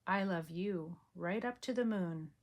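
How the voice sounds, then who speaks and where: A big grown hare in a storybook, calm and tender, speaking softly and without hurry, as a grandmother reads him aloud. His lines are slow and gentle. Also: on the low side, lightly acted.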